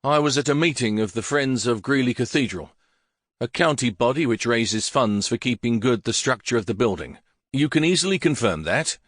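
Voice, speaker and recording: A man's voice: medium pitch, expressive, moderate pace, fairly bright tone, dry recording, studio quality. A man voicing an impatient middle-aged lawyer who speaks formally and grows heated easily. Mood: indignant.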